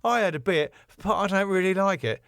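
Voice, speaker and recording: An awkward teenage boy in a sitcom, voiced by a man. He sounds naive and hesitant, pitched a little high, in dry close sound. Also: brisk, a bit bright, dry recording. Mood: sheepish, frank.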